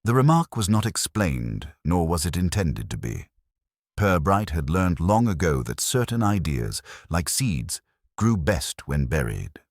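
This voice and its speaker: A man reading a mystery story as a scripted narrator, in a measured, formal way. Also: low voice, very animated, no echo, studio quality.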